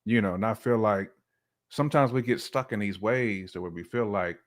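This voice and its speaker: A middle-aged man with a fairly low voice talks as a guest in a casual relationship discussion. He explains his thoughts reflectively, in everyday colloquial wording. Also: moderate pace. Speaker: podcast guest.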